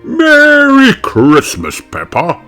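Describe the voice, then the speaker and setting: A man's voice: very high-pitched, huge pitch swings, very slow, pushed and bright, soft background music, pitched above the performer's own voice, full character voice. A man playing a jolly, kindly old Father Christmas with a hearty manner in a children's storybook. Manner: hearty, chuckling.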